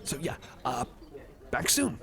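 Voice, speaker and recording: A man voicing a friendly, nerdy cyborg card collector in an ASMR roleplay, rambling casually with a halting, apologetic manner. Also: medium pitch, sing-song, vocal fry, exaggerated voice. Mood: sheepish, considerate. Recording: cafe chatter behind the voice. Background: cafe crowd ambience.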